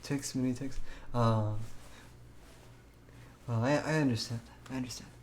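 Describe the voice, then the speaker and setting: A man's voice: medium pitch, steady tone, unhurried, darkish tone, a little hiss or hum. A man live streaming in a casual way, chatting and reading a game aloud with a hesitant, stammering delivery that often repeats words.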